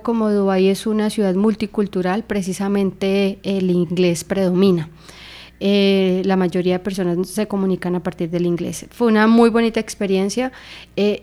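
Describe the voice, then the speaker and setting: A young woman from Colombia speaks with a Colombian Spanish accent. Her voice sits in the middle range, and she talks in a thoughtful, unhurried way. She often pauses to find her words while answering. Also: mid-range voice, a little mellow. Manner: thoughtful, with many fillers like "eh" and "digamos". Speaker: guest. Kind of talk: interview answers.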